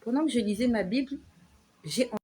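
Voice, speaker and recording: A woman giving an earnest devotional exhortation to her viewers, repeating phrases slowly and letting her voice sink soft at the ends of lines. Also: on the high side, husky. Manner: earnest, repetitive, slow emphatic phrasing. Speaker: online preacher.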